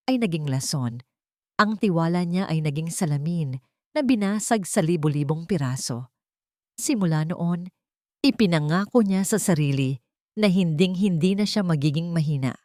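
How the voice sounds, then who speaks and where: A woman's voice: lowish voice, darkish tone, no echo, studio quality. A woman narrating a scripted romantic drama as a storyteller.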